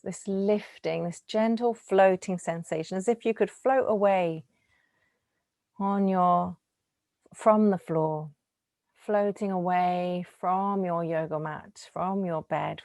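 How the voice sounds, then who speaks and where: Low-pitched woman guiding relaxation, close and dry. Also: creaky, dry recording. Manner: gentle, soothing, unhurried with lingering pauses. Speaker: yoga nidra teacher. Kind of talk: guided relaxation meditation.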